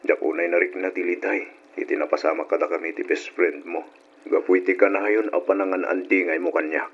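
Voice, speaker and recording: A man's voice: low-pitched, steady tone, a little fast, breathy edge. A man acting in an Ilocano radio drama, playing an earnest, heartbroken but forgiving jilted suitor. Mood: wistful. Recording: dull, noisy old radio recording.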